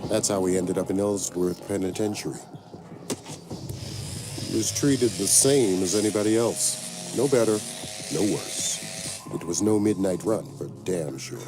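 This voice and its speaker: A weary senior intelligence man narrating a spy game. His voice is very low, grave and plain-spoken, with a gruff loyalty to it. Prominent music runs underneath. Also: unhurried, long pauses. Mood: somber, regretful.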